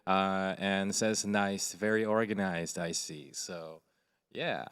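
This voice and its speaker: A man's voice: low-pitched, steady tone, slow, fairly bright tone, smooth. A man co-hosting a casual live stream, talking loosely with many fillers and reading viewer comments aloud. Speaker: co-host and co-producer.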